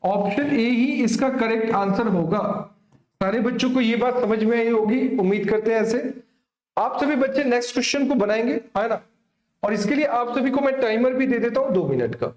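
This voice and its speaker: A man's voice: extremely high pitch, moderate pace, darkish tone. A man teaching an exam coaching class, instructing students familiarly and often answering his own questions. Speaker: maths teacher. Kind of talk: exam coaching lesson, working through a problem. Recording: echoey room.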